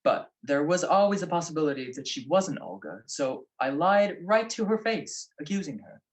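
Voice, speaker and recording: A man's voice: on the high side, animated, normal speed, close to an everyday voice, low-quality recording. A man playing a shrewd, wry married private detective in a 1940s-style radio drama. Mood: smug, explaining. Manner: wry, teasing.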